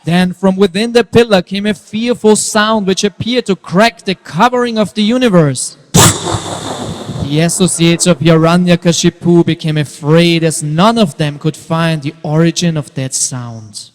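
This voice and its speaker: Narrator, male, somewhat high voice, dramatic scripted storytelling, long reverb of a hall. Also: unhurried.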